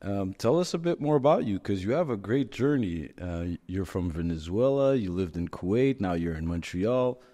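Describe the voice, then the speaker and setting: Mellow, low-voiced podcast host man. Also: expressive, moderate pace, few pauses, in a large hall. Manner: friendly, encouraging, calls guest man. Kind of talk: podcast interviewing.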